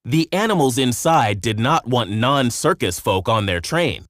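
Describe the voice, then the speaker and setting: A man narrating a children's story from a script in an expressive storytelling manner. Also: mid-range voice, unhurried, dry recording, studio quality.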